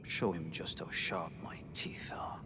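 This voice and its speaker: A man voicing a gruff, loyal wolfish swordsman in a video game, blunt and matey. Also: low voice, fairly even intonation, measured pace, background noise. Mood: frustrated, menacing. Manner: blunt, plain-spoken, matey. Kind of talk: video game character dialogue.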